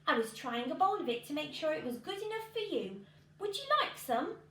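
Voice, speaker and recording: A woman acts out a fussing old woman from a storybook. Her voice is high and coaxing as she placates, in an echoey room. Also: sing-song, fairly quick, pushed and bright, heavily acted. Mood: placating. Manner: coaxing, dismissive. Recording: echoey room with background noise.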